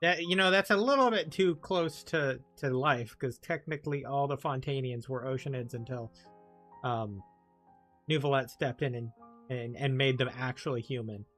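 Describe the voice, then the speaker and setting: A woman game streamer with a very deep voice for a woman. She comments casually and reacts aloud in a conversational way, with faint game music behind her. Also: moderate pace. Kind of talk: casual let's-play commentary.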